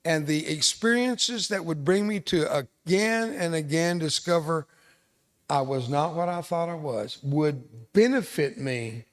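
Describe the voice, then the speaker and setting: A man's voice: a little high, animated, a little slow. An older man from South Texas whose first language was Spanish, giving an emphatic motivational speech to an audience and repeating his key lines. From South Texas, USA. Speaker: veteran speaker, resiliency trainer.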